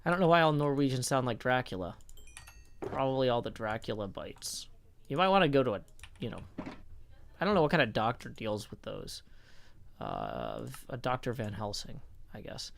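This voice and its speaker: A man's voice: medium pitch, sing-song, moderate pace, vocal fry, pauses often, a little hiss or hum. A man live-streaming a game, chatting in a rambling, casual way and answering his viewers as he plays. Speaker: livestreamer. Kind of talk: casual live-stream chat while playing.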